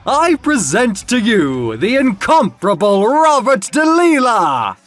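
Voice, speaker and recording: Flamboyant impatient showman for audio comic , acted by a male narrator: extravagant, high-pitched, forceful delivery. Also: huge pitch swings, slow, faint music behind, full character voice. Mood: grand, exultant. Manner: extravagant, theatrical, clipped. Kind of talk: audio comic book drama.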